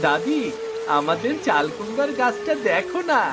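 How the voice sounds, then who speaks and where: An actor voicing an excitable, sweet and eager young village boy in a cartoon, with music behind. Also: mid-range voice, normal speed, close to an everyday voice. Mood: hopeful, delighted. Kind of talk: cartoon dialogue.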